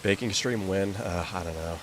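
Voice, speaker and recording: A young man with a slightly low voice chats loosely while he plays, rambling and dropping in plenty of filler words. A steady hiss sits clearly behind him. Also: normal speed. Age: young adult. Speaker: gaming streamer. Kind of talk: casual chat while playing a game.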